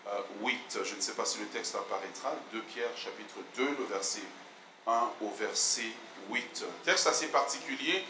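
A man in his forties with a mixed Canadian and Belgian French accent, a guest pastor opening his sermon in a measured, hesitant way on a handheld mic. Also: lowish voice. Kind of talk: sermon introduction. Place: large church hall, indoors. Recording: echoey church hall, noisy.

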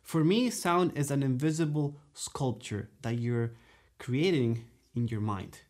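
A man in his thirties teaching saxophone straight to camera in a dark studio, animated and gesturing, checking in with "okay?" and "you know" between his points. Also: medium pitch, measured pace. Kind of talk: direct-to-camera music lesson. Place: dark studio room, indoors.

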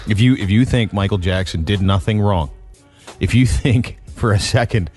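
A man's voice: on the low side, measured pace, a little mellow, soft background music. A man hosting a morning radio show, talking in crude, mocking banter with callers and his co-host. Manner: brash, mocking, swears freely.

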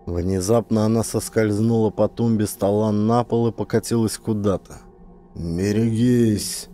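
A man narrating a scripted science fiction audiobook dramatically, with suspenseful, deliberate delivery. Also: lowish voice, level tone, warm tone, soft background music.

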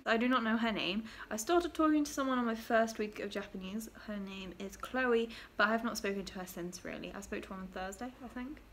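A woman's voice: a little high, fairly bright tone, slight background noise. Giggly young woman chatting to her webcam. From England. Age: young adult. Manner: chatty and giggly, trailing off into fillers. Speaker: vlogger. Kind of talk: casual chat to camera. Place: small bedroom, indoors. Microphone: laptop webcam at desk.

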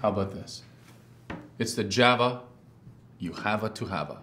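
A man's voice: on the high side, expressive, fairly quick, close to an everyday voice. An actor playing a defensive, easily wounded adman brother in naturalistic screen comedy. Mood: eager. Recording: faint background noise.

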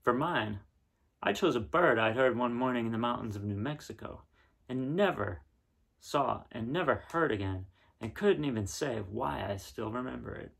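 A man in his thirties reading a picture book aloud in a casual, unhurried way with pauses between phrases. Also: mid-range voice, expressive, creaky, a bit bright, husky. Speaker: reader of children's picture books. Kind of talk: gentle read-aloud storytelling and casual chat. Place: small living room indoors, seen on camera.

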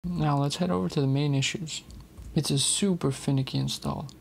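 A man hosting a casual tech video, explaining software in a chatty, candid way. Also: on the high side, steady tone, moderate pace, gravelly, slight background noise. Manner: chatty, candid about frustrations.

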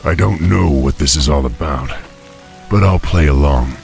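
An actor playing a proud young orc war chief in a fantasy game, gruff and commanding. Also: male voice, very deep voice, moderate pace, over music. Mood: wary, puzzled. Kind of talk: dramatic fantasy game voice acting.

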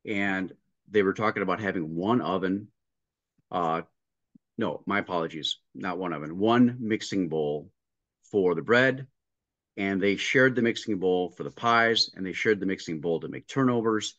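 A middle-aged man explaining over a video call, his lowish voice in dry, slightly muffled audio. He tells practical stories step by step, acting out both sides of the conversation. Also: normal speed, a bit bright, dry recording. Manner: explains step by step, acting out dialogue, frequent restarts. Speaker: restaurant consultant guest. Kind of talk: casual interview storytelling. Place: home room with a painting behind him.

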